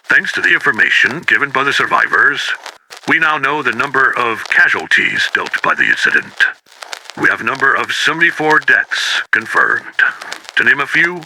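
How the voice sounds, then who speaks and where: A man playing a grave investigator who records a deliberate, official case report as a 1990s horror audio log. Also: medium pitch, big pitch swings, pushed and bright, exaggerated voice. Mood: grave, solemn. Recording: noisy, harsh-edged radio-like tape recording.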